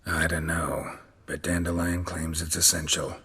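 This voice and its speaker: An actor playing a gruff, laconic monster hunter in a video game, with a gravelly, husky voice and a terse, dry manner. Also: male voice, low-pitched, flat delivery, unhurried, sharp tone, exaggerated voice. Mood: dry, calm.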